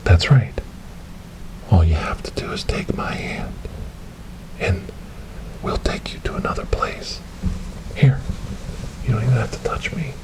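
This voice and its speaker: A man playing a gentle, devout rescuer in an ASMR storytelling roleplay, speaking softly and breathily in a soothing, reassuring way. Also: on the low side, animated, unhurried, pauses often, rough recording. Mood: gentle coaxing. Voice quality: soft, breathy, whispery. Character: gentle, devout male rescuer coaxing a lost ghost girl.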